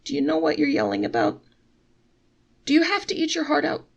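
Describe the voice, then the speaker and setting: A woman's voice: high-pitched, big pitch swings, brisk, vocal fry, dull audio, voice pushed up, exaggerated voice. A woman reading a story, voicing a shrewd male innkeeper who talks in a wheedling, mock-innocent way. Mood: coaxing.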